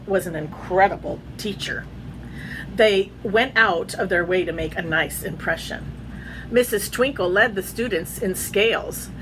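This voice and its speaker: A woman reading a children's picture book aloud in an expressive storytelling manner, chatting in asides to her pet. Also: mid-range voice, background noise. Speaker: read-aloud host, teacher.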